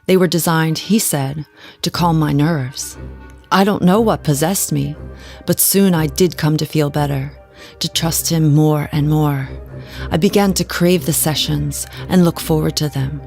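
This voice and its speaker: An actress playing a haunted, genteel wife who tells her story in a measured, dramatic way in an audio drama. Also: low voice, gravelly, a little mellow, faint music behind. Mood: confessional. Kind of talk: scripted audio drama narration.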